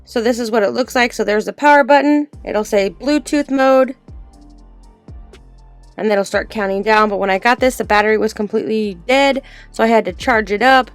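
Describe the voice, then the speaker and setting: A woman with a somewhat high voice presents a casual product demonstration. She explains things informally, one step at a time, with music playing behind her. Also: normal speed, dry recording. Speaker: product reviewer.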